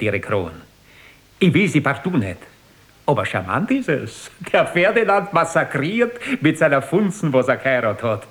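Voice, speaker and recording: An actor playing a cynical, flippant Austrian aristocrat in a radio drama, sardonic and drawling, with an Austrian accent. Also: male voice, medium pitch, a bit bright. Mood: amused, mocking.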